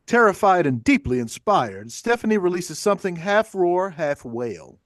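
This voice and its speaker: A man's voice: high-pitched, very animated, moderate pace, no room sound, clean studio sound. A man reading stage directions as scripted narration for a play table read.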